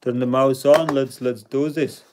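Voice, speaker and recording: A man's voice: medium pitch, fairly even intonation, unhurried, darkish tone, a little hiss or hum. A man, chatting playfully with viewers while he plays a word game on a live stream at home. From Latvia. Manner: playful, self-mocking, reads out chat names and rankings. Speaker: livestream host. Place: indoor room at home.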